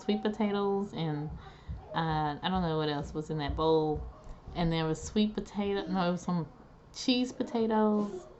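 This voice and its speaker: A woman's voice: on the low side, muffled recording, noisy background. A woman talking casually to viewers from home while she recovers after neck surgery, her voice still regaining strength. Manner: chatty, homey, addresses viewers as guys. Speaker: vlogger. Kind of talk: casual talk to camera.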